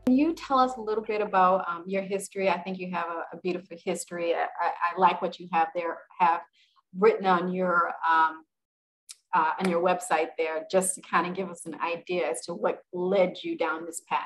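Woman host on a video call, lowish voice, lots of yeah and mm-hmm. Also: fairly even intonation, a little fast, slight background noise, low-quality recording. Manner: supportive, frequent brief agreements. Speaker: interview host. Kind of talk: interview over video call.

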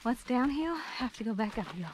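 A woman's voice: on the high side, lively intonation, moderate pace. A middle-aged woman chatting casually to camera, somewhat winded as she hikes. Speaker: hiker filming a travel vlog. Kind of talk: casual chat to camera while hiking. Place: outdoors on a forest and ridge trail. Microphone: handheld camera at arm's length. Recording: noisy handheld outdoor camera audio.

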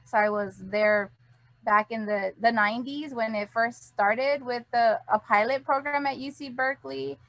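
A middle-aged woman from Hawaii, a program director, giving a measured welcome on a video call. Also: on the high side, steady tone, fairly bright tone, no echo, low-quality recording.

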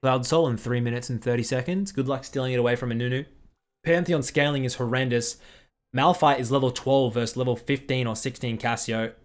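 A young man talks over a game replay in a casual, blunt way, tossing in quick critical asides. He sounds close and direct, as if speaking into a headset from a small room at home. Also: medium pitch, fairly even intonation. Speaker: streamer commentating a replay.

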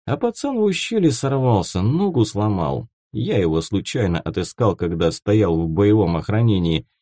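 Lively, mellow male audiobook narrator. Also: lowish voice, normal speed, no room sound, studio quality. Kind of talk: expressive scripted fiction narration.

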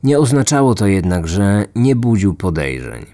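A man's voice: lowish voice, very animated, normal speed, mellow. A man narrating a scripted true-crime documentary as its host.

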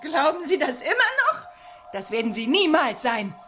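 A spirited young heroine in a radio play. She is outspoken and expressive, with a high voice, animated melody and faint music behind her. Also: normal speed, heavily acted. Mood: defiant. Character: spirited young woman, hero's anxious companion.

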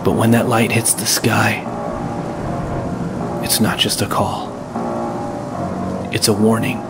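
A brooding masked vigilante played by a man narrates a noir audio drama in short, grave phrases. The voice is low and flat, with slow, deliberate pacing. Loud music swells behind it. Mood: brooding, menacing. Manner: grave, deliberate, terse delivery. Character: brooding masked male vigilante haunting a crime-ridden city. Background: loud cinematic music, rain, thunder.

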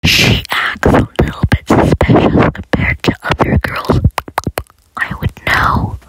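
A woman voicing a suspicious, gossipy cartoon girl scout in a breathy whisper. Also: slow, natural voice, low-quality recording. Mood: conspiratorial.

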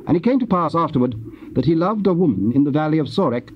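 A man giving a solemn, measured scripted narration of scripture. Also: on the high side, expressive. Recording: muffled, noisy old cassette transfer.

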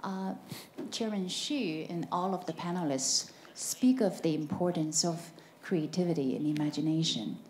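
A woman's voice: on the low side, normal speed, few pauses, a little mellow, echoey room, slight background noise. A woman in the audience asking the panel a careful question, with some hesitation. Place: large conference hall audience.

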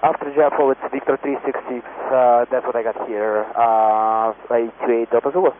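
A man, a pilot, giving halting readbacks over aviation radio. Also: mid-range voice, fairly even intonation. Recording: narrowband cockpit radio, faint noise.

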